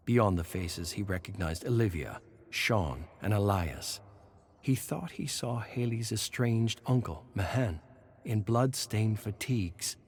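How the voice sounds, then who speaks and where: A man narrating scripted horror fiction in a dramatic, deliberate storytelling manner. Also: lowish voice, darkish tone, a little hiss or hum.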